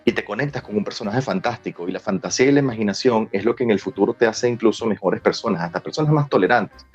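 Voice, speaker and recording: A man's voice: fairly low pitch, fast talker, slightly rough. A man with a Venezuelan accent answering interview questions in an emphatic, explanatory way. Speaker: guest, screenwriter and former child actor. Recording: dull lo-fi sound with music behind.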